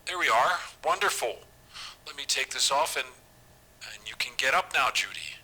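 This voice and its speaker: A man playing a secretive, obsessive doctor in an audio drama, measured and precise in his speech. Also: mid-range voice, animated, a little hiss or hum, low-quality recording. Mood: falsely soothing. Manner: measured, precise, hushed dictation. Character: secretive, clinical male doctor, falsely soothing, obsessive. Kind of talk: audio drama acting as taped medical notes.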